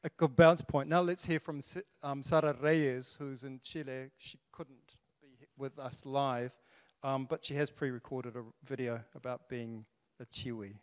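A man's voice: mid-range voice, fairly even intonation, normal speed, smooth. An older man hosts a panel, upbeat and playful, sprinkling in Maori greetings. His handheld mic carries a long reverb tail from the large hall around him. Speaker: panel moderator. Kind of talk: moderating a panel. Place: large formal hall on stage.

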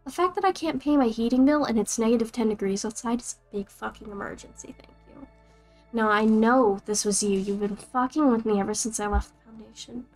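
A woman's voice: fairly high pitch, a little fast, soft background music, natural voice, poor audio. A woman voicing an angry former employee, a woman, demanding her money back and swearing. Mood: furious. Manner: furious, swearing.

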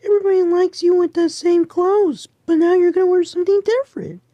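A woman's voice: extremely high pitch, fairly even intonation, a little fast, dark tone, no echo, lo-fi sound. A woman voicing a bossy, fussy young male friend in an amateur cartoon, with brusque commands. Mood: fussing, encouraging.

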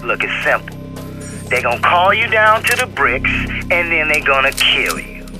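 An actor with an American accent playing a wary, exasperated young gang member in a video game, slangy and urgent. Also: male voice, on the high side, big pitch swings, normal speed, over music. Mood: blunt warning.

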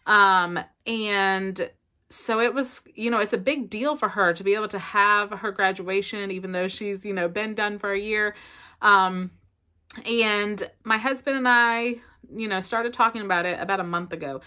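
Chatty Southern woman with a bright voice. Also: mid-range voice, moderate pace. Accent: Southern American. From South Carolina. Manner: chatty, expressive, lots of fillers. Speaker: family vlogger. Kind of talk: casual chat to camera. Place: bedroom at home, indoors. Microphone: camera in front at close range.

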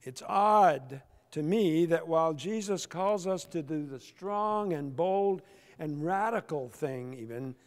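An older man, a pastor, preaching a sermon from the pulpit with slow, emphatic delivery and deliberate pauses. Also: a little high, expressive. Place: large church sanctuary, at the pulpit. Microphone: pulpit microphone. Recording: long church reverb.

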